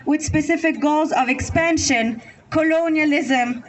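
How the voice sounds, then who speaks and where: A woman's voice: high voice, unhurried, slight background noise. A woman from Lebanon giving a prepared speech at an outdoor rally, listing points one after another in an earnest way. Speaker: rally speaker. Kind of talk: prepared speech read to a crowd. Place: outdoor public square.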